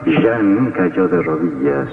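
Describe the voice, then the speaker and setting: A male narrator of radio horror with a low, extremely gravelly voice. His dramatic delivery is slow and swoops widely. Loud music plays behind the muffled recording. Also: expressive.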